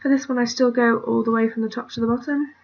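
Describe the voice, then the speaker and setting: A woman's voice: fairly high pitch, fairly even intonation, fast, creaky, dull audio, a little hiss or hum. A British woman giving a casual, rambling drawing tutorial while she works, often trailing off into mutters and whispers, recorded on a computer mic at her family home. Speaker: art tutorial creator.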